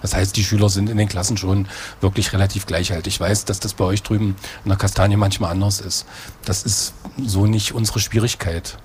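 Man, lower voice, school head, conversational interview answers, faint background hiss. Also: level tone, a little fast, darkish tone. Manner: conversational, explaining at length.

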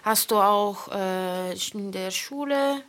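Slow, hesitant woman interviewer. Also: medium pitch, a bit bright, a little hiss or hum. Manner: hesitant, many fillers. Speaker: radio interviewer. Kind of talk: radio interview.

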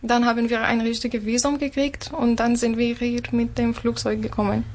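A woman's voice: a little high, level tone, normal speed, slight background noise. A woman from Syria with an Arabic accent, answering as an interview guest in non-native phrasing. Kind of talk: personal talk in a radio interview.

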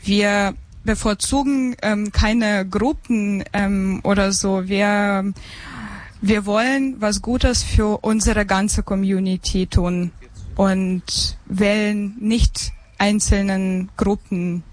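A woman's voice: medium pitch, steady tone, takes it slowly, rough recording. A woman in her thirties from Ukraine, a guest in an interview, answering hesitantly with many fillers and restarts. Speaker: guest, migrant council member. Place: summer party at an art gallery.

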